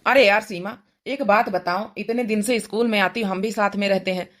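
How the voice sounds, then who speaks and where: A woman voicing a flattering, pushy young male schoolteacher in a cartoon comedy, coaxing his lover. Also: mid-range voice, fairly quick, lightly acted. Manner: coaxing, smooth-talking.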